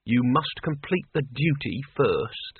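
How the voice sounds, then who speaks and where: A man's voice: medium pitch, sing-song, slow pace, slightly rough, heavily acted. A man voicing a wary old wizard, a cackling miser whose defiance is crumbling, in an audiobook story. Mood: grudging. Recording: muffled, echoey, phone-like radio sound.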